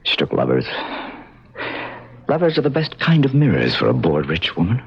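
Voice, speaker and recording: An actor playing a haunted, bitter man in an old-time radio drama, brooding and deliberate, with dramatic pauses. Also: low voice, wildly swooping pitch, slightly rough, exaggerated voice. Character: haunted, bitter man traumatised by his parents' past. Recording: dull lo-fi vintage radio broadcast.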